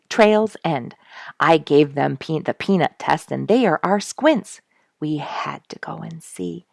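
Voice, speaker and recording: A woman voicing an excitable, kindly woodsman in a children's story, loud and exclamatory. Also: mid-range voice, creaky, no room sound, studio quality, voice pushed up, heavily acted.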